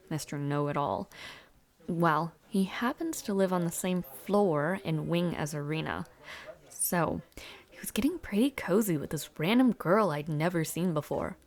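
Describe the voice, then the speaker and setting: A woman playing a friendly, teasing young university student, chatting casually and playfully in an intimate audio roleplay. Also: lowish voice. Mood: warm, gossipy. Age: young adult. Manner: chatty, breezy, playful with soft asides.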